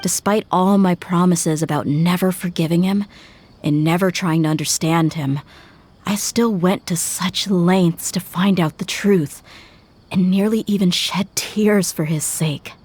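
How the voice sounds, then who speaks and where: A woman's voice: lowish voice, measured pace, darkish tone, slightly rough. An actress playing a tough young mercenary woman grieving her father, speaking in an earnest, reflective way. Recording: game audio with music.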